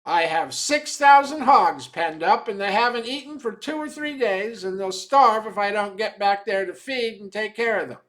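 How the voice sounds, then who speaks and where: A storyteller voicing a wily, matter-of-fact swamp trapper with deliberate, step-by-step delivery in a dramatic tale reading. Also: medium pitch, a bit bright, close to an everyday voice. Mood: urgent.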